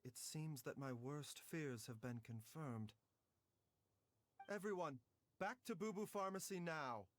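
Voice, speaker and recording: A man's voice: fairly high pitch, unhurried, lightly acted. An actor playing a composed, courteous young male doctor in a video game, polite and soothing in a refined way. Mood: concerned.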